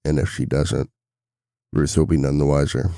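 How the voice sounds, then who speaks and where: A man playing a devoted, worried single-dad boyfriend in an intimate ASMR roleplay, confiding softly with a gravelly voice. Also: level tone, normal speed, no room sound, clean studio sound. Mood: worried, venting. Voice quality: very deep, gravelly.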